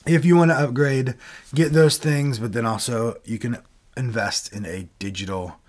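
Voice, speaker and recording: A man who is a bit sick, his voice lower than usual, explaining his video setup in a relaxed, chatty livestream. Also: moderate pace. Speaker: video creator and worship leader hosting a livestream. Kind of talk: casual how-to livestream talk. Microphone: desk microphone, webcam video.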